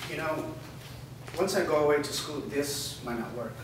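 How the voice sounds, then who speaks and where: A man's voice: fairly high pitch, normal speed, close to an everyday voice. A man playing a blunt, self-centred young boyfriend in a staged drama reading. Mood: offhand. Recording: echoey room, distant mic, clear noise.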